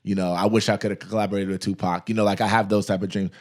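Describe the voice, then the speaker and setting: Male podcast host, fairly low voice, easygoing advice-giving chat. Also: flat delivery, very fast. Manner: advice-giving, talks through contract examples at length. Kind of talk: casual interview chat.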